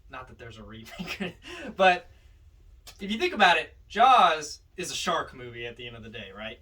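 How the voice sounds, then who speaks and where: A man's voice: fairly high pitch, pushed and bright. A man co-hosting a podcast in a casual film discussion, often posing rhetorical questions, recorded at some distance from the mic. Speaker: podcast co-host.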